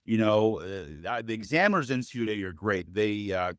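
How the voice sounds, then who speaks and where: A man with a deep, loud voice talks earnestly as an interview guest. His pitch sits low and his words come in measured, considered phrases. The sound is close and dry, with no room around it. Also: lively intonation, no echo. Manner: earnest, measured, addresses host by name often. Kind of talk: interview conversation.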